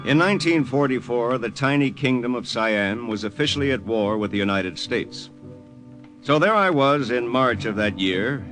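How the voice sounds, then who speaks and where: An actor playing a wisecracking American lieutenant, a secret agent telling of his mission in a 1950s radio drama with a brash, wry delivery. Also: close to an everyday voice. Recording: noisy old radio recording with engine rumble.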